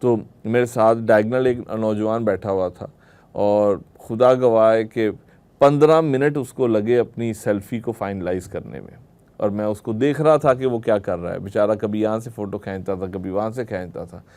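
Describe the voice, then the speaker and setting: A man's voice: fairly low pitch, normal speed, darkish tone. A man giving a religious lecture to a group, explaining through rhetorical questions and everyday examples. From Pakistan.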